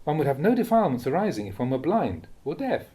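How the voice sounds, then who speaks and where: Mellow male monk reading a dharma talk. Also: fairly high pitch, big pitch swings. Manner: measured, contemplative, with rhetorical questions. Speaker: Buddhist monk reading recorded teachings. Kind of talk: read-aloud dharma talk.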